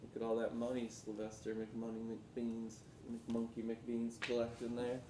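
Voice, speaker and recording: A man narrating a children's storybook aloud in an expressive, rhythmic storytelling delivery. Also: unhurried, recorded from a distance. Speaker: teacher reading aloud. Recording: roomy echo with background rumble noise.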